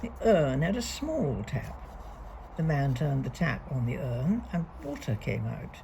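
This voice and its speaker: A woman reading a picture book aloud to young children, deliberately and expressively, leaning on key words. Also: sits low, gravelly, a little mellow, a little hiss or hum, rough recording. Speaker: storybook reader.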